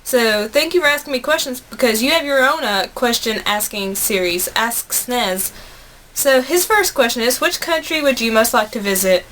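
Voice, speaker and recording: A woman in her twenties with an American accent speaks in a somewhat high voice. She chats casually to her viewers as a video blogger, earnest and a bit rambling. There is some noise in the homemade recording. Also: expressive, normal speed, a bit bright. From United States. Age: 20s. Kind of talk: casual chat to camera.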